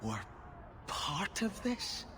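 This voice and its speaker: A man's voice: high voice, very animated, extremely slow, background noise, exaggerated voice. An actor playing a devout, anguished and vengeful nobleman in a fantasy game, with an impassioned, formal delivery. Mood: vengeful fury. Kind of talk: dramatic fantasy game acting.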